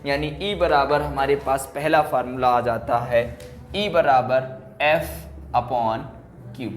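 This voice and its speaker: A man's voice: on the high side, a little hiss or hum. A man teaching a physics class at a board, explaining and dictating, repeating key phrases and asking check questions. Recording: echoey room.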